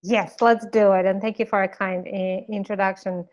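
A woman's voice: medium pitch, steady tone, normal speed, dull audio. Woman guest with a slightly scratchy voice. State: slightly scratchy voice, occasional throat clearing. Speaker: guest expert. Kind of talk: podcast interview.

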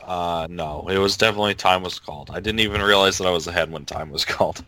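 A man's voice: low voice, fast, bright, forceful tone, dry recording. A man giving plain, casual answers as a guest on a gaming podcast. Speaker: podcast guest and champion gamer. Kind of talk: casual chat.

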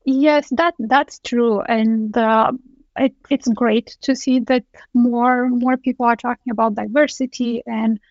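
A woman's voice: fairly high pitch, steady tone, a little slow, a little mellow, no echo. A woman from Romania, a guest speaking thoughtfully on a video call, often restarting her sentences. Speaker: guest engineering director. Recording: dull, dry lo-fi call audio.

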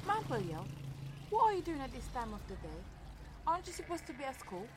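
A woman's voice: sits high, big pitch swings, a little fast, a little hiss or hum. A woman playing an anguished, proud mother in an audio drama. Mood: subdued. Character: anguished, proud Senegalese mother, defiant and worn down.

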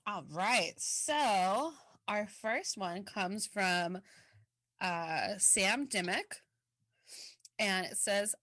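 Woman podcast co-host, casual and rambling, mid pitch, dry close mic. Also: very slow, bright, forceful tone, no room sound. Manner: chatty, filler-heavy, laughs easily. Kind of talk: casual podcast chat, reading listener mail.

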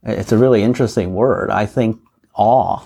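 Husky man, Portuguese mother tongue. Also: fairly low pitch, normal speed, dark tone. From Portuguese-speaking country. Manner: reflective, asks rhetorical "right?". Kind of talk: casual podcast discussion.

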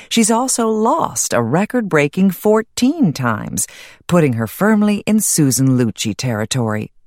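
A woman reading a nonfiction audiobook as narrator, in a measured, articulate and wry way. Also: fairly low pitch, a little mellow, no echo, clean studio sound.

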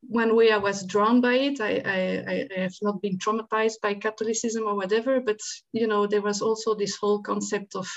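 Woman, mid-pitched, thoughtful and hesitant, slightly dull audio. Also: fairly even intonation, fairly quick. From Belgium. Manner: thoughtful, hesitant, many ums and restarts. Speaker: psychiatrist guest. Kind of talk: podcast interview answers.

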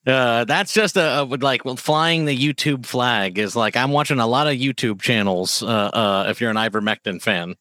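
A man chiming in on a casual online show, stumbling over words and tossing in dry jokes. His voice is bright and crisp and recorded close with no echo. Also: mid-range voice, normal speed, hardly any pauses. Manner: stammering, joking asides. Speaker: co-host. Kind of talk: casual chat.

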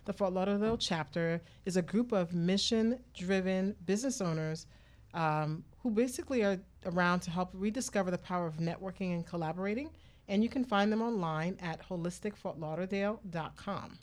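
A woman's voice: lowish voice, a little fast, darkish tone. A woman hosting a radio talk show in a conversational style.

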